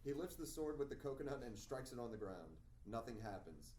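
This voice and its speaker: A man's voice: medium pitch, very animated, a little fast, a little mellow. Echoey male script reader. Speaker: stage direction reader. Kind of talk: script table-read narration. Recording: echoey room, distant mic, faint noise.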